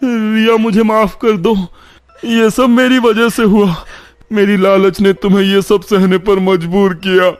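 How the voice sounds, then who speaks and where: Man acting a trembling, guilty young man, raised pitch. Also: flat delivery, a little slow, clean tone, no echo, soft background music. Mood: pleading. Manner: trembling, remorseful. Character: frightened, guilty young man confessing, pleading. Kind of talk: cartoon horror story acting.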